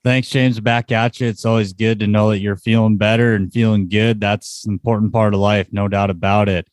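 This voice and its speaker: A man's voice: lowish voice, little pitch movement, a little fast, no echo, clean studio sound. A man hosting a casual sports podcast, chatting informally about results and what is coming up. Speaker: podcast host.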